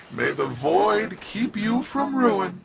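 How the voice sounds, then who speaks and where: An actor playing a smug corporate tycoon villain in a game, grandiose and condescending like a theatrical preacher. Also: male voice, high-pitched, gravelly, background noise, exaggerated voice. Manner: grandiose, condescending, swooping drawl. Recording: music behind the voice.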